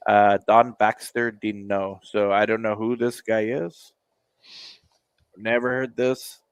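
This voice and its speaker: Dude rambling and cracking sarcastic jokes, lowish voice, dry close sound. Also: male voice, little pitch movement, takes it slowly, clean tone, no room sound. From United States. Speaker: co-host reacting to music. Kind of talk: casual reaction chat.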